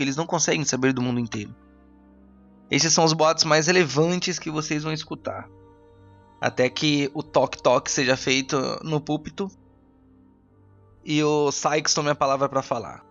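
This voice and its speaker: A man's voice: a little high, a bit bright, muffled recording. A man with a Brazilian accent improvising narration as game master, conversational, often repeating himself as he searches for words.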